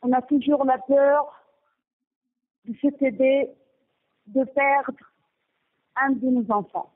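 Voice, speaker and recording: A woman from Gaza, speaking haltingly with many restarts, giving a personal testimony and partly reading her own text. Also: high voice. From Gaza, Palestine. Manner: halting, with frequent restarts and pauses. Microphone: telephone. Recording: tinny phone line.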